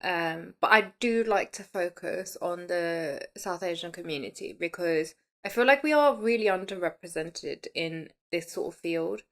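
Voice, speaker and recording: Woman, late thirties, lowish pitch, podcast host, casual monologue, chatty, candid, conversational. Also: normal speed, few pauses. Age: late 30s.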